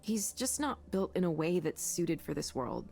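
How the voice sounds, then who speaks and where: An actress playing a candid, kindly co-worker in a visual novel, with a frank, conversational delivery. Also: female voice, fairly low pitch, very animated, moderate pace, gravelly, a little mellow, faint music behind. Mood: weary concern. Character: candid, weary but kindly woman, friendly co-worker.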